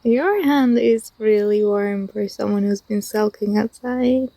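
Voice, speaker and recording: A young woman playing an affectionate, teasing university student in an intimate ASMR roleplay, speaking softly with a tender, hesitant delivery. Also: medium pitch, measured pace, dry recording. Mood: fond, teasing.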